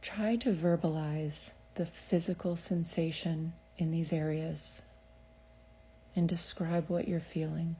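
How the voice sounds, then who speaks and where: Low, slow, soothing woman guiding meditation. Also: steady tone, husky, muffled recording. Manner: soothing, measured, gentle instruction. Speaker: psychologist leading a guided meditation. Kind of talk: guided meditation, scripted.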